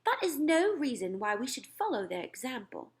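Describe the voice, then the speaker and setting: A woman's voice: fairly high pitch, big pitch swings, normal speed, pitched above the performer's own voice. A woman voicing a regal, tactful queen, polite but firm, in a children's storybook. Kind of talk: children's storybook read-aloud.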